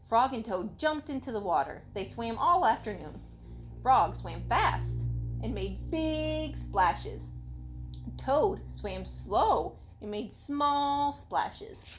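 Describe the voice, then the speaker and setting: Lively woman reading a children's story. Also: on the high side, takes it slowly, soft background music, poor audio. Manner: expressive, instructive, acts out the dialogue. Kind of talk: read-aloud children's story with questions to a child.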